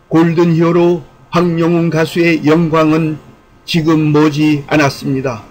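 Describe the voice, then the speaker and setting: A man's voice: a little high, a little hiss or hum, poor audio. A man reading scripted commentary aloud in a formal, earnest, exhortatory way, as the host of an online channel.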